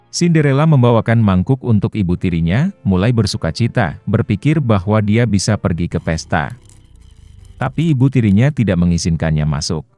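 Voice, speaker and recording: A man's voice: fairly low pitch, mellow. A man reading a scripted fairy tale as a storyteller for children, with music behind him. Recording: roomy echo under faint music. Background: faint background music.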